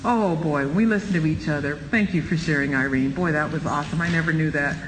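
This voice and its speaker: A man leading a church Bible study, preaching with animated, exclaiming energy. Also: a little high, brisk. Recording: roomy reverb, light background noise.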